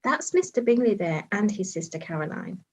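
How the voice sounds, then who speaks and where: An actress playing an observant, gossipy young gentlewoman with a confiding manner in a period staged reading. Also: mid-range voice, very animated, moderate pace. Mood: watchful.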